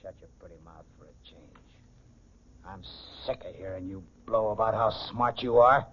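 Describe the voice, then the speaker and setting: An actor playing a wary, resentful getaway driver with slangy tough talk in a 1940s radio crime drama. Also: male voice, on the low side, fairly even intonation, normal speed, a bit bright, a little hiss or hum, close to an everyday voice. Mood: bitter, gloating. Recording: dull lo-fi 1940s radio recording.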